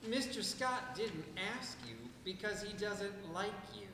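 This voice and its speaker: A man's voice: sits high, unhurried, sharp tone. A man playing a prim, caustic, disapproving relative in a radio comedy re-creation. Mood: snide, prim. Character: prim, snide, disapproving brother-in-law. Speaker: radio player. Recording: echoey hall, audience crowd behind.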